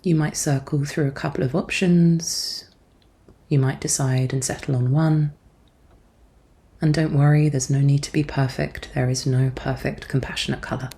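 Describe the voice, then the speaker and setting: A woman therapist leading a guided meditation in a gentle, soothing and measured manner. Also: a bass voice, fairly even intonation. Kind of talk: guided meditation, soft-spoken instruction.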